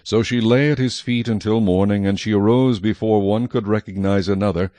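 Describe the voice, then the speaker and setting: A man narrating scripture from a script in a measured, solemn manner. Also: on the low side, fairly even intonation, dark tone, no room sound.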